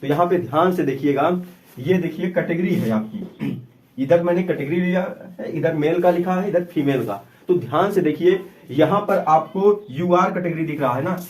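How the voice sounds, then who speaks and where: A man's voice: sits high, darkish tone. A man giving an informal explanation to students as an exam-prep teacher, with a repetitive, emphatic way of advising.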